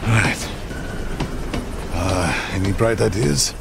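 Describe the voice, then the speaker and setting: A man acting an easygoing, burly old frontier trapper with a gravelly voice in a video game. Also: on the low side, extremely slow, very noisy, heavily acted. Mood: unsure. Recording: loud music behind.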